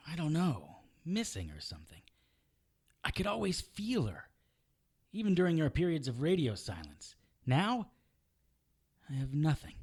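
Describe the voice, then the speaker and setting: A man's voice: medium pitch, a little mellow, slightly rough, heavily acted. A man playing a stubborn, wounded supernatural detective in an audio drama, wry and defensive in manner. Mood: reflective. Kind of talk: naturalistic audio drama acting.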